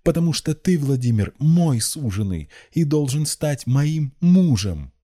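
An impassioned young girl of an audiobook tale, acted out by a man, lovesick, jealous and defiant. The pitch is pushed well up and the tone is soft-edged and dark. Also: very animated, unhurried. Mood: desperate, defiant. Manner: shrill, impassioned exclamations.

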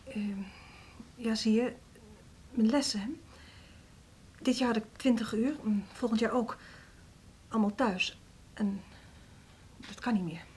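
A woman playing a timid, downcast music teacher in a radio drama, speaking softly and haltingly, almost whispering. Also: a little high, steady tone, a little hiss or hum, lightly acted. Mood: hesitant, downcast. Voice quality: soft, breathy, near whisper. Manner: hesitant, halting. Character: timid, downcast female recorder teacher, quietly insistent.

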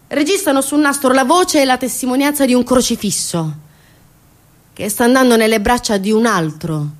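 Intense, swooping woman storyteller, bitterly indignant. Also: sits high. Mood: grave, sorrowful. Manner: sarcastic, dramatic, swinging from hushed to loud. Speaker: stage actress performing a monologue. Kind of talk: impassioned theatrical narrative monologue.

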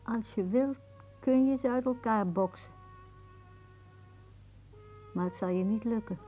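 Casting: bitter, weary older aunt, fairly high voice, brooding radio-play delivery, narrow old recording. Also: female voice, measured pace, soft background music. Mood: brooding, sardonic. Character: weary aging aunt, bitter, musing, aching body. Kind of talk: radio drama acting, monologue-like.

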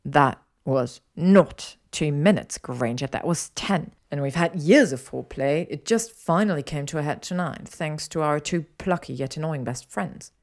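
A woman's voice: low-pitched, normal speed, hardly any pauses. A woman voicing a cocky, easily stung young man with a smug drawl in a story reading. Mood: indignant. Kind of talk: fan fiction audiobook dialogue.

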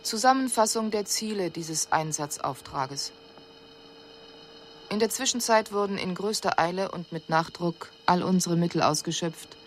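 Alien envoy, female actor: slightly low voice, sober and exact, formal radio-play report over faint noise. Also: darkish tone, lightly acted. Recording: radio drama recording with audible background noise.

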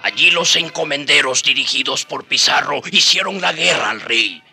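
A man narrating a historical radio drama with expressive, solemn, dramatic storytelling. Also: a little high, normal speed, gravelly, faint music behind.

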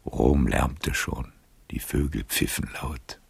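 Gravelly, very deep old Casanova. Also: male voice, full character voice. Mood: hushed, spellbound. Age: older. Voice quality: husky, gravelly, breathy murmur. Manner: slow, halting, savouring storytelling. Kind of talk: intimate radio drama acting.

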